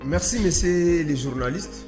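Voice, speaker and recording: A man's voice: fairly high pitch, unhurried, over music. A man with a Congolese accent answering as a political panel guest, didactic and emphatic, posing rhetorical questions. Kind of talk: political debate interview. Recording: echoey hall-like room with long reverb.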